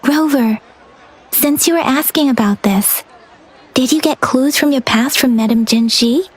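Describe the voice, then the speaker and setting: A woman voicing a gentle, thoughtful young woman in a video game, speaking in a polite, earnest, measured way. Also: sits high, slightly rough, a faint crowd. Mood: pondering. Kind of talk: video game character dialogue.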